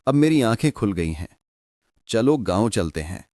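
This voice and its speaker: A man voicing a sly but soft-hearted adult village thief in a cartoon moral story, with drawn-out, expressive delivery. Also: on the low side, dry recording, clean studio sound. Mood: remorseful.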